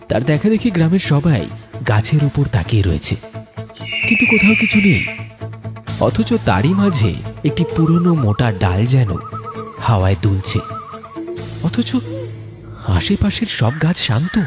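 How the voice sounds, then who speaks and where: A man narrating a scripted horror story in a dramatic, suspenseful storytelling manner. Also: mid-range voice. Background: music.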